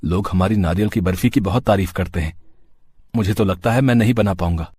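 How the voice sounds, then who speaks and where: A man's voice: deep voice, fairly quick, darkish tone, husky. A man voicing a humble, caring husband and street sweet seller in a cartoon story, earnest in manner. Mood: worried.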